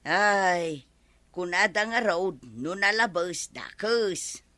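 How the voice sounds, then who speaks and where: A woman's voice: lowish voice, takes it slowly, bright, forceful tone, no echo. A woman with an Ilocano accent playing a gossipy village neighbor in a radio comedy-drama. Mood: gossiping.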